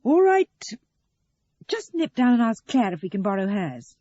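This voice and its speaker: A woman voicing a curious, perceptive man in an audio story, questioning, reasonable and gently needling. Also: mid-range voice, big pitch swings, fairly quick, dull audio. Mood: long-suffering. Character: curious, perceptive man, mildly long-suffering houseguest.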